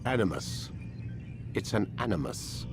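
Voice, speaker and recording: An actor playing a cold, condescending older scientist in a video game, speaking in a measured, pedantic way. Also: male voice, lowish voice, big pitch swings, over music. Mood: cold, menacing. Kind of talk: video game cutscene acting.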